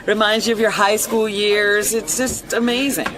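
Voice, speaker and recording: A man's voice: high-pitched, level tone, moderate pace, pushed and bright, poor audio. A man reminiscing in casual, conversational talk. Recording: crowd noise behind.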